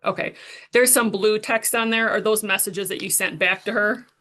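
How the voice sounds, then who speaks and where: A woman's voice: medium pitch, fairly even intonation, moderate pace, pushed and bright. A woman working as a prosecuting attorney, questioning a witness formally and step by step in court.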